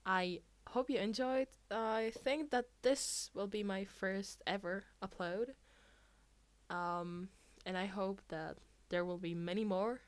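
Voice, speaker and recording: A woman reading fiction aloud in an expressive amateur audiobook style. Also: mid-range voice, slow pace. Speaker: podfic reader.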